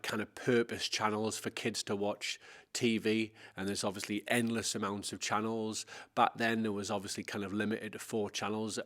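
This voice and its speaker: Flat, low-voiced man reminiscing. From Scotland. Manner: meandering, hedging with many fillers. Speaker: interview guest. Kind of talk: casual reminiscing.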